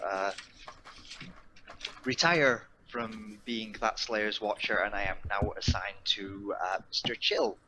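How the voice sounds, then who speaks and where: Bright-voiced man, halting, on a call. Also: fairly low pitch. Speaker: tabletop roleplay player. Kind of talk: casual chat, introducing a character. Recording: faintly noisy voice call.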